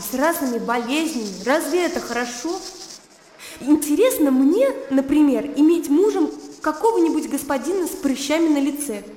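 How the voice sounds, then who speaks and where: A high, girlish voice playing a lively young provincial woman in a radio play. She is blunt, teasing and emphatic, heard in reverberant sound with crickets around her. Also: slight background noise. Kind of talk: Russian radio play acting. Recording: echoey reverberant sound, crickets and outdoor ambience.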